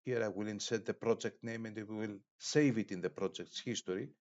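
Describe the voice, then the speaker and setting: A middle-aged man giving an instructional demonstration as an online course lecturer, speaking in a halting, deliberate way with frequent hesitations. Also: fairly low pitch, a little mellow. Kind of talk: instructional screen demonstration, unscripted. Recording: dull, muffled lo-fi sound.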